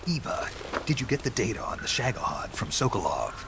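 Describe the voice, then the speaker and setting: An actor playing a gruff, stoic soldier in a cinematic video game, with a gravelly voice and a terse, matter-of-fact way of talking. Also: male voice, lowish voice, big pitch swings, brisk, background noise. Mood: serious. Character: gruff, stoic male American soldier with one good eye.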